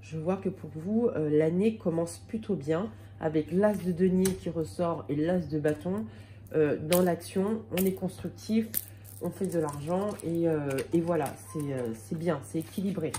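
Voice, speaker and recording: A woman with a slight cold reads tarot cards for her viewers in a relaxed, chatty way, often pausing and restarting her sentences. Her voice sits a little low and has a warm, mellow tone. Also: slight background noise. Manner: hesitant, many fillers and restarts. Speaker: tarot card reader. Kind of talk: casual tarot reading for viewers.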